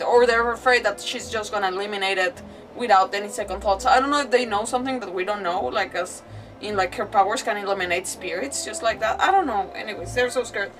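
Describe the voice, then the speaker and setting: A woman streaming a game and chatting casually, rambling and halting as she repeats her words. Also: fairly high pitch, fairly bright tone, slight background noise. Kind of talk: casual chat, reacting to a game. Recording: distant mic, game music behind. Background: game music.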